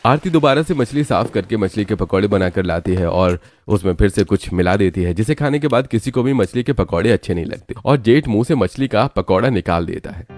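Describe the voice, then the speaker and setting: Low-voiced man narrating a cartoon story. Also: animated, a little fast, few pauses, darkish tone, dry recording.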